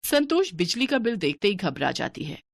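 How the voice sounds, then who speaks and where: A woman giving steady, scripted narration for a cartoon moral story. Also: mid-range voice, normal speed, creaky, slight background noise.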